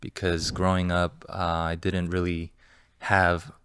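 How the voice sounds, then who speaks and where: A man in his thirties from Los Angeles, a guest entrepreneur telling his story in a relaxed, candid way with many fillers. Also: low voice, takes it slowly, slight background noise. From Los Angeles, California. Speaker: guest, entrepreneur and fund manager. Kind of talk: casual interview storytelling.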